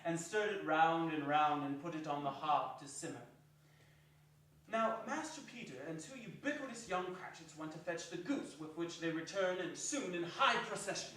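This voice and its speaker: A man's voice: a little high, fairly bright tone, a little hiss or hum. A man giving a theatrical storybook narration as one of the readers in a staged reading. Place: indoor performance hall. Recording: echoey hall from far off.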